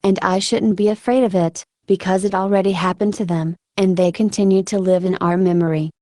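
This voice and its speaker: A woman giving reflective interview answers in a halting, thoughtful way with frequent filler words. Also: medium pitch, steady tone, mellow, no room sound, studio quality. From Ukraine. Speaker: military combat medic being interviewed.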